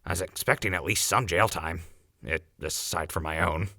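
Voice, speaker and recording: A man's voice: low-pitched, moderate pace, sharp tone, no room sound, studio quality. An actor playing an easygoing young man in a radio drama, wry and joking.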